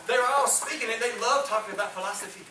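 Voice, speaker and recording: A man's voice: sits high, fast. A middle-aged man preaching to a small congregation, fervent and calling for amens, recorded by a distant camera. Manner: fervent, rising and falling, calls for amens. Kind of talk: Pentecostal-style sermon. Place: small church hall with congregation. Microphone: far camera, no mic seen. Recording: echoey room from far off with faint hiss.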